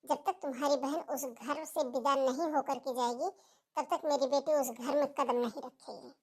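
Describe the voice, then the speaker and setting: A woman's voice: high voice, fairly even intonation, moderate pace. A woman voicing a protective, blunt middle-aged mother in a cartoon family drama, speaking in a firm, plain way. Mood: firm, insistent.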